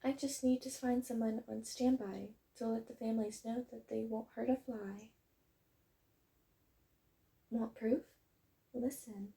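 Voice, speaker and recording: Woman playing a high, very soft-toned friendly dog girl. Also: fairly even intonation, measured pace, vocal fry, long pauses. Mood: gentle, reassuring. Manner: gentle, encouraging, chatty. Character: friendly tomboy dog girl running a kennel, wholesome and reassuring. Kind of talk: roleplay audio, acted one-sided conversation.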